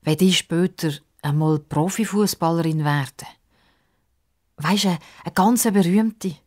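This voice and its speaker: A woman's voice: lowish voice, slow, darkish tone. A woman voicing an eager, sceptical young girl in a children's story, direct and questioning, with a Swiss German accent. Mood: hopeful.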